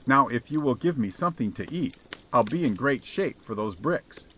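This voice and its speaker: A man voicing a hungry beggar in an audiobook, gentle and humble with polite cheek. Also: medium pitch, lively intonation, moderate pace, a little hiss or hum. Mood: gently pleading. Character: hungry male beggar, meek on the surface, slyly bluffing. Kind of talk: dramatized audiobook character.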